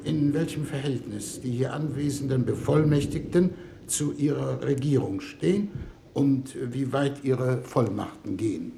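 An actor playing a courteous Earth diplomat chairing negotiations in a 1960s radio drama, polite, procedural and a little hesitant. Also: male voice, medium pitch, creaky, warm tone, slight background noise.

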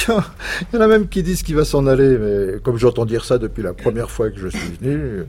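A man's voice: mid-range voice, animated, a little fast, a little mellow, a little hiss or hum, lightly acted. An actor playing a talkative male patient chatting folksily in a waiting room in a radio drama. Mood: chatty.

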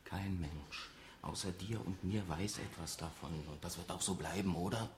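Actor as a low-voiced, scheming office auditor. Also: male voice, normal speed, close to an everyday voice. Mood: coaxing, pressing. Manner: insistent, persuasive, conspiratorial. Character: scheming, insistent male office auditor hiding a lottery win. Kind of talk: German radio drama acting. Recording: roomy echo, faint noise.

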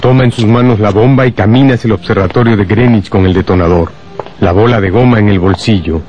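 Male narrator for radio drama, lowish voice, scripted storytelling over a clear music bed. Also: fast, darkish tone, lo-fi sound.

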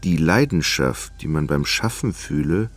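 A man narrating a literary radio drama from a script in a measured, dramatic storytelling manner. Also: very deep voice, animated.

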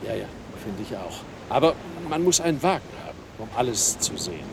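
An actor playing a chatty, forward, plump American hotel guest in a radio play. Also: male voice, fairly low pitch, big pitch swings, brisk, gravelly, noisy background. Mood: friendly, chatty.

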